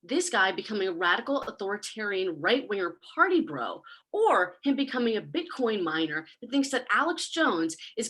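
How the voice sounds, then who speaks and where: An actress playing a smug, snarky demon in a comic stage monologue, sarcastic and conversational, boasting about corrupting mortals. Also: female voice, fairly high pitch, a bit bright. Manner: sarcastic, conversational, casual swearing.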